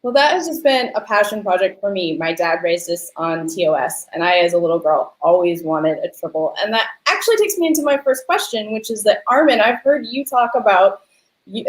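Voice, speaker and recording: A young adult woman hosting a casual interview in an eager, friendly manner. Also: mid-range voice. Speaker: host, interviewer. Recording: roomy, echoey sound.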